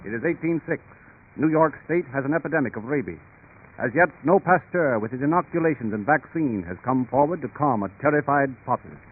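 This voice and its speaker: Dramatic American announcer on tinny old radio. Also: male voice, medium pitch, animated, a little fast. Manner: dramatic, emphatic, polished. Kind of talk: 1930s radio announcing, scripted narration. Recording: tinny 1930s radio, music behind.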